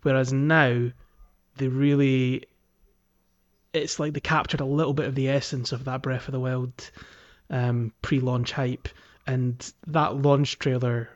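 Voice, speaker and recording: A man's voice: medium pitch, flat delivery, unhurried. Man chatting, dull lo-fi sound. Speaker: podcast co-host. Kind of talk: casual chat. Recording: dull lo-fi microphone audio.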